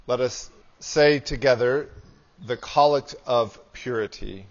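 A man in his thirties, a priest reading prayers and scripture in a solemn, measured liturgical style. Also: medium pitch, lo-fi sound. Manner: solemn, measured, deliberate phrasing. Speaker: priest leading a church service. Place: small church with hard walls.

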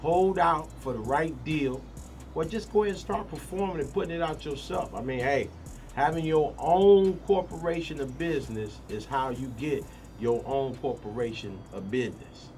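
A man's voice: a little high, normal speed, a little mellow. A man talking casually in a documentary interview. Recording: slightly distant mic, faint music behind.

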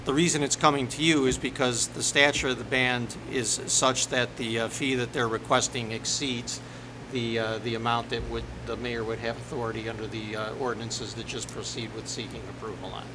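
A middle-aged man speaking haltingly as a village attorney, formally explaining ordinances at a board meeting. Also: steady tone. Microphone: desk microphone on the dais. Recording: noisy meeting room with ventilation rumble.

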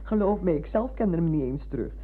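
An actress playing a warm, chatty older former schoolteacher in a Dutch radio play, full of exclamations. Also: female voice, lowish voice, lively intonation, brisk. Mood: chatty, insistent. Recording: muffled lo-fi old radio recording.

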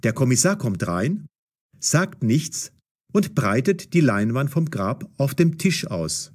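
A man's voice: medium pitch, very animated, takes it slowly, a little mellow. A man narrating a scripted story as the narrator of an audio drama.

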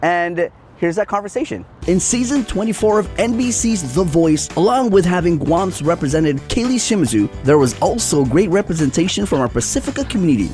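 A man's voice: high voice, fairly quick, few pauses, soft background music. A man hosting a TV show, narrating segments in an upbeat presenter style. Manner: upbeat, lively presenter delivery. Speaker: show host. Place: outdoors by the sea.

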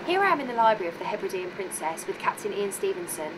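Young woman reporter, fast and bouncy, higher voice, loud noise behind. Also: very animated. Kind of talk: presenting and interviewing.

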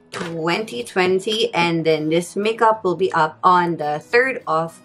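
A woman in her thirties from the Philippines, whose first language is Filipino, chatting casually and cheerfully to camera in a bedroom, with frequent ums. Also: mid-range voice. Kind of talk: casual vlog talk to camera. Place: bedroom at home, indoors.

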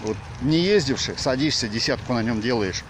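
A man rides a bicycle outdoors and talks into a handlebar-mounted phone. His voice sits at an ordinary pitch, and his delivery is loose and rambling, full of slang and fillers. Loud wind noise batters the microphone the whole way. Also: lively intonation, brisk. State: riding a bicycle while talking. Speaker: vlogger. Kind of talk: casual first-person ride commentary. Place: outdoors on a village road.

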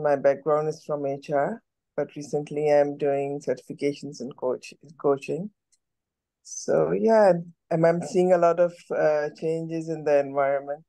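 A man's voice: a little high, dry recording. A man from Pakistan, casually introducing himself on a video call with many ums. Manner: relaxed, hesitant, many ums. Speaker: alumni participant.

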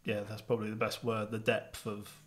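Lowish flat-sounding guy, 30s, hesitant and agreeable, podcast mic in a small studio. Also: fairly quick. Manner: agreeable, hesitant, lots of ums and backchannel. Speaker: co-host. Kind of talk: casual podcast chat. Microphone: studio podcast mic on arm.

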